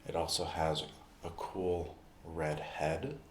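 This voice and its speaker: A man speaking in an ASMR roleplay, addressing the listener directly in short, unhurried phrases with pauses. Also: sits low, echoey room, a little hiss or hum. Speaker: ASMR performer playing a basketball recovery expert.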